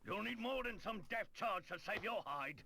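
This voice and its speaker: An actor playing a theatrical, declamatory character in an animated video game cutscene. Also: male voice, high voice, measured pace, slight background noise, full character voice. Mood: indignant, accusing.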